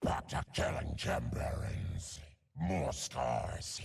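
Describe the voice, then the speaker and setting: An actor voicing a savage, tormented werewolf in a video game, with a rasping growl and menacing, drawn-out delivery. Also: male voice, rumbling low, sing-song, exaggerated voice. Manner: menacing, drawn-out delivery that swings from whisper to roar. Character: savage, tormented male werewolf beast hunting prey. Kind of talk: video game character voice lines.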